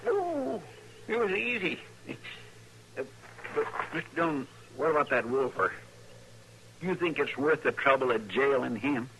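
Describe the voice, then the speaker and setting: An American actor playing a loyal frontier deputy in an old-time radio western, with folksy rural phrasing and a hesitant, rambling manner. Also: male voice, fairly high pitch, big pitch swings, a little slow, long pauses, fairly bright tone, full character voice. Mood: hesitant, sheepish. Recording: noisy vintage radio recording.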